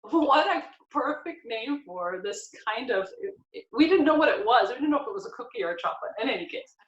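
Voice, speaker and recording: An American woman in her forties hosting a casual video-call interview, asking animated, chatty questions. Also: a little high, brisk, a little hiss or hum. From United States. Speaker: host. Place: home room with bookshelf. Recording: echoey, dull video-call audio.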